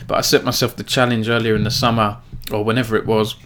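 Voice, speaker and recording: A man hosting a casual weekly esports news roundup, speaking in a flat, self-described monotone and peppering his talk with "um" and "uh". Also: lowish voice, fast talker, slight background noise. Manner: self-described monotone, filler-heavy delivery. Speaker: esports news editor and host.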